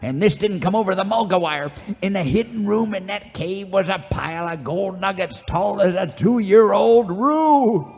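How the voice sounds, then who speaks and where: An actor playing a cantankerous, boastful old cowboy sidekick, spinning folksy, rambling tales in a live old-time radio comedy. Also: male voice, sits high, normal speed, hardly any pauses, darkish tone. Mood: animated, boastful. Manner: folksy, rambling, theatrical storytelling. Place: live radio theater stage. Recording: echoey theater stage, music behind.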